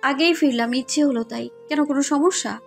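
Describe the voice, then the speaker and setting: A female actor plays a willful young heroine of an audio love story. Her voice is high, her delivery cool and a little sulky. Music plays behind her. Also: a little fast, creaky. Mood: nonchalant. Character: nonchalant, willful young woman in a romance. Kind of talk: audio story dialogue acting. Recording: music under the voice.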